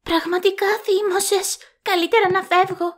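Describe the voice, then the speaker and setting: A cheeky girl , acted by a woman, with an extremely high voice. She teases in a playful audio drama style. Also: level tone. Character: cheeky teasing girl absorbed in games. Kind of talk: amateur audio drama acting.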